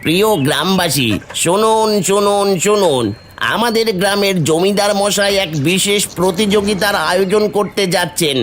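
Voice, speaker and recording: A man's voice: high voice, moderate pace, fairly bright tone, slight background noise. A man voicing a deferential landlord's steward and village crier in a cartoon, with a declamatory manner. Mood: proclaiming. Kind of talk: Bengali cartoon story acting.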